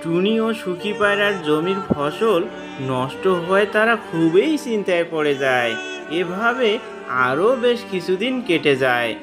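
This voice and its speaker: Narrator for a children's cartoon tale, animated melody, slow deliberate storytelling over music. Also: mid-range voice.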